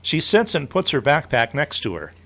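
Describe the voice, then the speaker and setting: A man's voice: on the high side, huge pitch swings, moderate pace, gravelly, sharp tone. A man reading scripted narration for an audio drama as its narrator.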